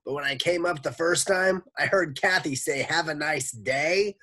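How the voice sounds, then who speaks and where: A storybook reader voicing an eager, pushy but kindly school lunch lady, loud and insistent. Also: medium pitch, moderate pace, pitched above the performer's own voice. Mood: reassuring, matter-of-fact.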